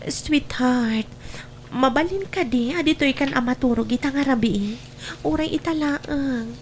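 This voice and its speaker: A woman voices a clingy secret sweetheart in an Ilocano audio drama. She pleads in a high voice with an Ilocano accent, over faint noise. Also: a little slow. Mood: pleading, affectionate. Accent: Filipino, Ilocano.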